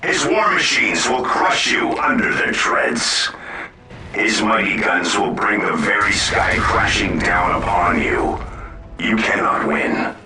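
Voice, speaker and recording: A man's voice: low voice, lively intonation, takes it slowly, background noise. A man playing a defiant, zealous army general in a video game, declaiming a bombastic speech over a distant, echoing broadcast. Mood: defiant, triumphant. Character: defiant, zealous male army general rallying against invaders. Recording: distant, echoing loudspeaker-like broadcast, faint music.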